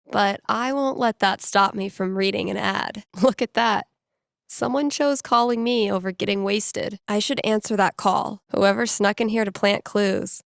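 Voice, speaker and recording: A young American woman, a playful small-town radio DJ from a video game. Her voice is gravelly with fry and recorded very dry and close. She talks in unhurried, naturalistic asides, sardonic and quick to mock herself. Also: medium pitch, no room sound. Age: young adult. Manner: sardonic, dry, self-deprecating.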